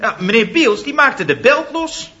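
An actor playing a cheerful flying instructor who talks briskly and chummily, deferring to his boss. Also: very high voice, big pitch swings, pushed and bright, highs cut off, full character voice. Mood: chummy, teasing. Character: eager, cheerful young male flying instructor. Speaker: pilot and flying instructor Paul. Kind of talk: 1960s radio comedy serial.

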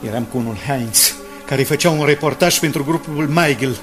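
A man's voice: a little high, a bit bright, close to an everyday voice. A man playing a shrewd, self-assured and suspicious newspaper reporter in a radio drama, with a theatrical, insistent delivery. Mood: urgent, resolved. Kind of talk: 1980s Romanian radio drama acting. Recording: music behind the dialogue.

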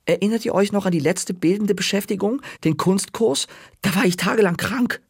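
A man doing a whiny excitable little boy. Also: brisk, husky, no echo, studio quality. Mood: pleading. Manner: high, pleading kid voice. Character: cheeky nine-year-old boy, whiny and excitable.

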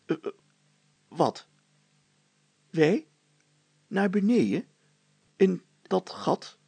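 A man puts on a squeamish, fussy mayor for a storybook tale. The voice is pitched up and husky, full of hesitant exclamations and repeated words. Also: sing-song, extremely slow, dark tone, no echo, exaggerated voice. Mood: nervous.

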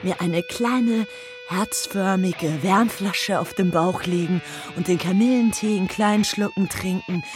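Slow, dreamy actress as a woman over guitar. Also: mid-range voice, natural voice. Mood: dreamy, weary. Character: weary person soothing themself toward dreamless sleep. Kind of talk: slow, dreamy radio play monologue. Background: plucked guitar music.

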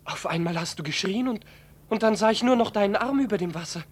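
A woman's voice: mid-range voice, fast, a little hiss or hum, lightly acted. A woman playing a worried child of a holidaying family in a German radio play. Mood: alarmed.

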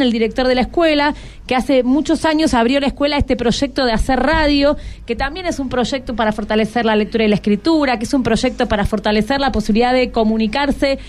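High-pitched Argentine woman hosting radio. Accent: Buenos Aires Argentine. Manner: conversational, with frequent tag questions. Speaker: radio host. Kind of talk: live radio presenting and interviewing. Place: radio studio. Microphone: studio microphone.

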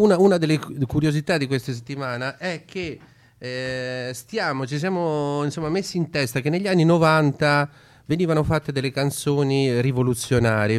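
Halting male radio host. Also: mid-range voice, clear, resonant tone. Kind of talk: casual radio talk.

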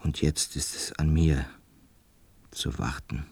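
Radio drama: lonely older lodger, extremely low and husky, slow, melancholic, halting. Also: male voice, steady tone, darkish tone, full character voice. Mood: melancholic, resigned. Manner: halting, reflective, often near whispering. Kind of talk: German radio play monologue.

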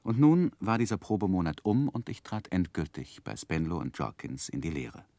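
An actor playing an earnest, romantic gentleman narrating his own youth in measured, literary for a 1960s radio drama. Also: low-pitched, big pitch swings, no echo. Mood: reflective, composed. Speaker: narrator and main character. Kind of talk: dramatic first-person narration, 1960s German radio play.

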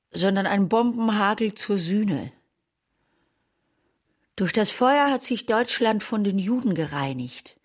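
An actress playing a bitter, sardonic woman survivor in a literary radio drama, speaking in pointed declarations. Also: medium pitch.

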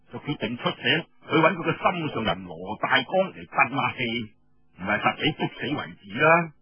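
A man with a Cantonese accent telling a story in an expressive, colloquial oral storytelling style, with rhetorical asides. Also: medium pitch, husky, dry recording, highs cut off. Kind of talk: dramatic traditional oral storytelling narration.

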